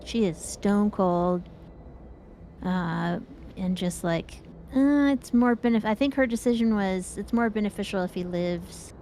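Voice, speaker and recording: A woman's voice: mid-range voice, measured pace, mellow, a little hiss or hum. A woman co-hosting a gaming show, chatting casually in a playful, rambling way while she plays. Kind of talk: casual chat while playing an online game.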